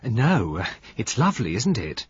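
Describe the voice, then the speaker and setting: A man's voice: fairly low pitch, very animated, unhurried, husky, dry recording, lo-fi sound, exaggerated voice. A man voicing a timid, chirpy, eagerly polite young wife in a storybook reading. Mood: polite, grateful. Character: small mousy, diffident, eagerly agreeable young wife.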